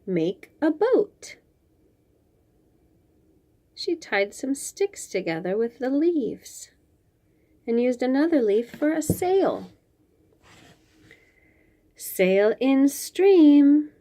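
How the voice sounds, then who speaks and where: A woman with a fairly high voice hosts a read-aloud for small children. She takes her time, stretching out the lines of the picture book with very lively intonation, then adds chatty comments of her own. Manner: expressive, drawn-out reading with chatty asides.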